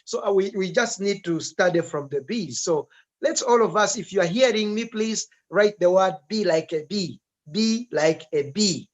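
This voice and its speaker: A man hosting a live-streamed talk, urging viewers to comment in an animated way. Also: high-pitched, normal speed. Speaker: host. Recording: dull lo-fi sound.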